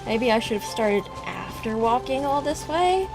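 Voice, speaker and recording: A woman giving casual, excitable gameplay commentary, exclaiming and talking to herself as she plays. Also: a little high, moderate pace, creaky, over music. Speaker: game streamer.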